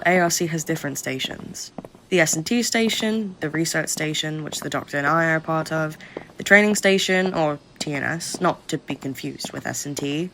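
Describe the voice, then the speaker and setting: A woman's voice: low-pitched, fairly bright tone, a little hiss or hum, low-quality recording. A woman playing a curt, condescending government researcher who briefs a recruit with blunt, sardonic impatience in an audio roleplay. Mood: matter-of-fact briefing. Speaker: researcher guiding a new recruit. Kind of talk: audio roleplay acting, addressed to listener.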